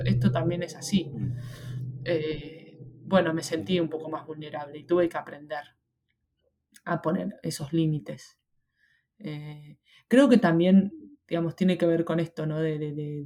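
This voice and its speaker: Young Argentine woman, soft dark voice. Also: sits low. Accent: Argentine Spanish. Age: young adult. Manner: reflective, many fillers and restarts. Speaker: guest. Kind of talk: casual interview chat.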